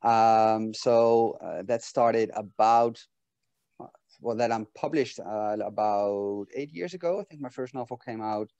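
A man's voice: fairly low pitch, unhurried, clean tone, no echo, muffled recording. A man in his forties from the Netherlands with a Dutch accent, chatting casually as a guest on a video call from home, with plenty of ums and restarts. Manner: relaxed, many fillers and restarts. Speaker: guest author. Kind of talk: casual interview chat over video call. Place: home room.

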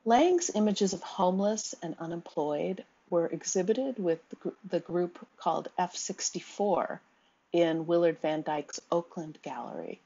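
A woman giving a recorded slide lecture in a measured, steady way, with the odd um. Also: lowish voice, husky, muffled recording. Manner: measured, reads quotes aloud, occasional ums.

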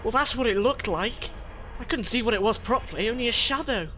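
A woman's voice: a little high, expressive, brisk, pushed and bright, a little hiss or hum. A woman playing a worried, loyal sister in an audio drama. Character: worried, loyal sister searching for her captive sibling.

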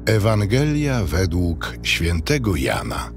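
Slow, very expressive male scripture narrator. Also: sits low, creaky. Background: music.

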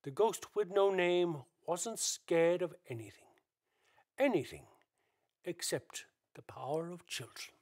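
Slow, higher-pitched male storyteller. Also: animated, a little mellow. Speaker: narrator. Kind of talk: dramatic scripted storytelling for a radio play.

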